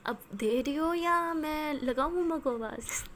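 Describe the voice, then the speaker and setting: A woman's voice: on the high side, a little hiss or hum, lightly acted. A woman voicing a teasing young woman in love. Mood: teasing, affectionate.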